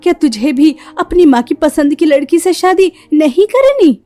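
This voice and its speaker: A woman voicing a gentle, long-suffering village mother of grown sons in a cartoon story, tender and maternal. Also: high-pitched, lively intonation, normal speed. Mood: fond. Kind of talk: Hindi cartoon story voice acting.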